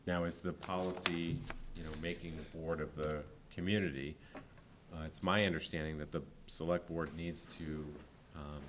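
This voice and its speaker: A man in his forties, a town planning official, answering a board's questions at a public meeting, often pausing with ums and restarting sentences. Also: sits low, level tone, noisy background. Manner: hesitant, many ums and restarts. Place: town hall meeting room. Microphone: desk microphone.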